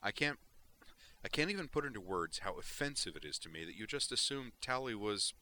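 A man's voice: lowish voice, very animated, fairly quick, pushed and bright, slight background noise, pitched above the performer's own voice, heavily acted. A man voicing an angry, weary male starship pilot in a sci-fi audiobook reading. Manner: heated, grumbling.

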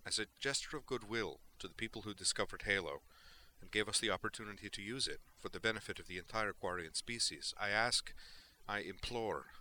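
A man's voice: on the low side, sharp tone. A man voicing a fiery young female alien in an audiobook, speaking in an impassioned, formal and defiant way. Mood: imploring.

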